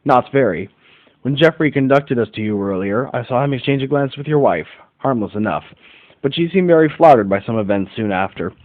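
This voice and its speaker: A man's voice: mid-range voice, expressive, a little fast, no room sound, narrow, tinny audio, natural voice. A man playing a confident, observant detective in an amateur radio play, with formal, instructive phrasing. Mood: accusing. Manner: formal, didactic, declarative phrasing. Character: confident, observant male detective in 1940s America.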